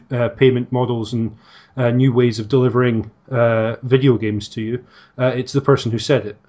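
Measured, thoughtful man in conversation. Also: medium pitch, a little mellow. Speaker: podcast guest. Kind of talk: reflective conversation.

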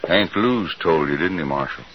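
Defensive rural American settler, low forceful voice, intense vintage radio Western acting, light hiss. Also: male voice, lively intonation, fairly quick, muffled recording. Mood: bitter, guarded. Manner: rustic folksy speech, intense. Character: troubled, defensive frontier homesteader, grown man. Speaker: actor in a radio Western drama. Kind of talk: 1950s radio drama acting. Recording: hissy 1950s radio recording.

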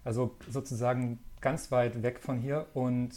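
Relaxed north German man in his thirties. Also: mid-range voice, measured pace. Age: 30s. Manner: relaxed, friendly, frequent fillers. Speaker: magazine editor, guest. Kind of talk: casual interview chat.

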